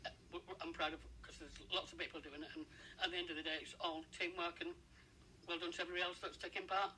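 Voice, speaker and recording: A man's voice: a little high, flat delivery, quick pace, bright, forceful tone, rough recording. A man answering informally as a radio interview guest, plain-spoken and earnest, with hesitant phrasing. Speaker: interview guest, charity fundraiser. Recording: radio interview with faint background noise.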